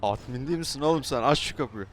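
A man's voice: medium pitch, fast. A man bantering confrontationally as he trolls other players in an online roleplay game, with game car noise behind him. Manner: teasing, confrontational. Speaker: YouTuber playing a roleplay game.

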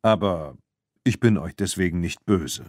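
A man voicing a calm, outwardly hospitable man who speaks with unhurried, sly courtesy in an audiobook. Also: low voice, no echo, studio quality, pitched below the performer's own voice. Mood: calm, smooth.

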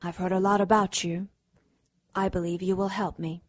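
A mysterious femme fatale, played by a woman with a lowish, husky voice. She is regal and self-assured, speaking with measured formality in the manner of noir radio drama. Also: little pitch movement, close to an everyday voice. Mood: poised. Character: mysterious, self-assured seductive female client, regal and discreet.

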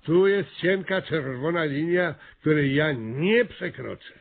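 A man's voice: fairly high pitch, lively intonation, takes it slowly, gravelly, phone-quality sound, exaggerated voice. An actor playing a flustered, hesitant small-town mayor in a Polish radio comedy, stammering and repeating his words. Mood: flustered refusal.

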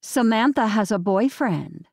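A woman's voice: a little high, very animated, unhurried, creaky, darkish tone, no room sound, studio quality, exaggerated voice. A woman narrator voicing a blunt, busy young mother scolding her sister. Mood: sharp.

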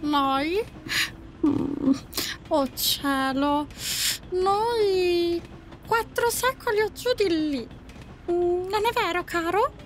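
A woman's voice: very high-pitched, drawn-out words, pauses often, heavily acted. A woman voicing a doting, melodramatic female fairy in an audio drama, gushing theatrically. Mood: sweet.

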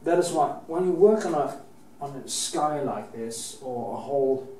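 A man's voice: medium pitch, expressive, normal speed, warm tone. A middle-aged man from Norway with a Norwegian accent, talking while he paints, rambling and restarting his sentences, swearing casually now and then. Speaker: painting teacher. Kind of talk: painting demonstration. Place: painting studio, indoors. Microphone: camera mic, at a distance. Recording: roomy, distant, faint background hiss.

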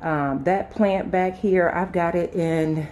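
A woman with a very low, soft-edged, dark voice gives an informal home tour. She speaks to viewers like a lifestyle vlogger, unscripted and halting, often circling back to restart a thought. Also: a little hiss or hum. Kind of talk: casual chat to viewers during a house tour. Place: inside a house, walking between rooms and sun porch.

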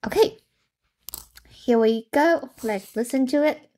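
A woman's voice: high-pitched, takes it slowly, mellow. A young woman hosting a casual screen-share tutorial, thinking aloud and reacting to results as she goes. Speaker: tech tutorial host. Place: indoor room.

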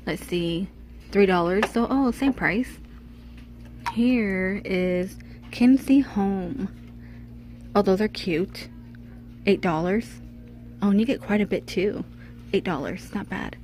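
A woman's voice: medium pitch, slow, long pauses, darkish tone, slight background noise. A woman chatting casually as she walks through a home goods store, reading out prices and brands on a handheld phone camera. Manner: chatty, reads out prices, small laughs. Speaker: shopping vlogger.

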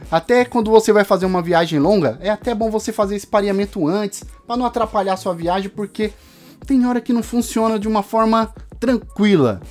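A man's voice: sits high, brisk, darkish tone, soft background music. A man, giving a casual product review to camera. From Brazil. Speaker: tech reviewer. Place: small home studio room.

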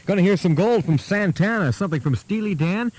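A man's voice: high voice, lively intonation, brisk, a little hiss or hum. A man with an American accent announcing as a Top 40 radio DJ on a 1980s aircheck. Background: loud music.

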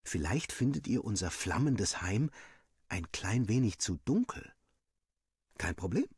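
A man reading a first-person story like an audiobook, expressive and playful with boyish indignation. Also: lowish voice, measured pace, husky, no echo, studio quality. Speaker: story narrator. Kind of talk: animated first-person scripted narration, like an audiobook.

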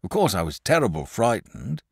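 A fretful middle-aged country shepherd, put on by a male storyteller, rambling in rustic speech with excitable swoops of pitch. The voice is recorded close and dry, with no room sound. He talks as a storybook figure. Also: normal speed, gravelly, husky, dry recording, full character voice. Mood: uneasy.

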